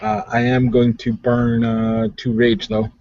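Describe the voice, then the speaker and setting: A man's voice: mid-range voice, completely flat delivery, a little slow, darkish tone, dry recording. A man bantering casually and wryly as a player in a tabletop roleplaying game over online voice chat. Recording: dull, dry lo-fi voice chat.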